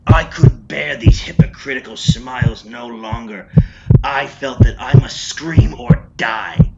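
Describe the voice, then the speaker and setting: A man playing an unhinged, cunning murderer in a gothic horror monologue, with a theatrical, nervous, halting delivery. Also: mid-range voice, expressive, creaky, lo-fi sound, heavy background noise. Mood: frantic, anguished. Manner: theatrical, swooping, halting with stammered repeats. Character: unhinged murderer confessing, cunning, nervous, increasingly frantic madman. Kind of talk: dramatic horror storytelling, classic gothic monologue.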